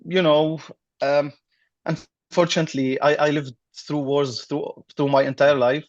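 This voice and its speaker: A man's voice: fairly high pitch, flat delivery, measured pace, no room sound. A man with a Syrian Arabic accent speaks as an interview guest, recounting things in a conversational way. Arabic is his first language, and his English comes in short, hesitant pieces. The recording is dry and slightly dull, with little room around the voice. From Damascus, Syria. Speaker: podcast guest, app designer. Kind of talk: conversational personal account.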